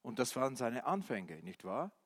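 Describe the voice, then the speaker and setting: A man's voice: medium pitch, moderate pace, slightly rough. Guy preaching a sermon, not a native german speaker, lots of ums, echoey hall. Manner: animated, asks the listeners questions, hesitant fillers. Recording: reverberant hall.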